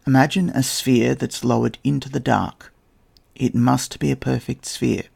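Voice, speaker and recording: A man hosting a podcast, giving a scripted, measured narration with a slightly croaky voice. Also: medium pitch, fairly even intonation, a little mellow. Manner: measured, evocative storytelling delivery. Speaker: podcast host. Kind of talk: scripted narration on history and tabletop role-playing.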